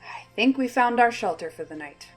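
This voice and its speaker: A woman's voice: fairly high pitch, expressive, normal speed, soft background music, close to an everyday voice. A woman playing a tough, pragmatic Marine sergeant in a science fiction audio drama, plain-spoken and commanding. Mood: steady, weary.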